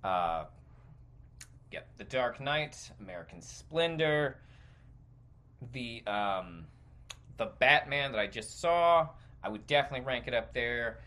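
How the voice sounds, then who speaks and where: A man hosting a podcast, delivering a rambling, sardonic and exasperated opinion rant with halting, emphatic phrasing. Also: medium pitch, expressive, pushed and bright. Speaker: podcast host.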